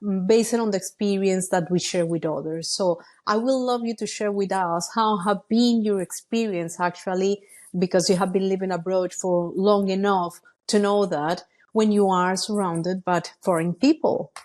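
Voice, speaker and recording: A woman's voice: medium pitch, steady tone, normal speed, a little mellow. A woman hosting a podcast, asking interview questions and often restarting her phrases. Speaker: podcast host.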